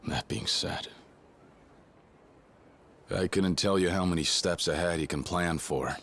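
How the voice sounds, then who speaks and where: An actor playing a loyal, stubborn young fighter in a video game cutscene, speaking bluntly and earnestly. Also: male voice, low-pitched, measured pace, a bit bright. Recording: game audio with music behind.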